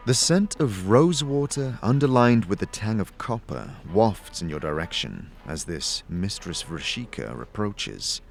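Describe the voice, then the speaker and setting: A man reading dramatic, second-person scripted narration for an audio story. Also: fairly low pitch.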